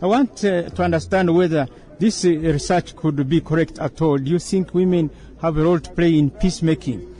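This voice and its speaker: Mellow, higher-pitched Ugandan male interviewer. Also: brisk. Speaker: radio reporter, interviewer. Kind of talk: formal interview questions.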